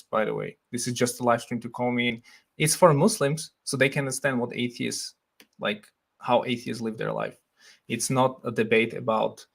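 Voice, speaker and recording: A young Slovak man with a Slovak accent, hosting a casual livestream, reading comments and thinking aloud in a relaxed way with frequent laughs. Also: medium pitch, vocal fry. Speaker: livestream host.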